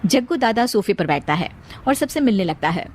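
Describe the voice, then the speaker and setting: A woman's voice: medium pitch, sing-song, a little fast. A woman delivering scripted narration as the narrator of a moral story.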